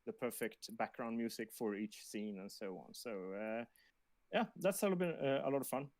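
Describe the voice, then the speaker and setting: A man's voice: medium pitch, big pitch swings, brisk, a little mellow, no echo. A man chatting casually on a livestream about his team's work, hesitant and loosely phrased but enthusiastic. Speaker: sound and music person on a game team.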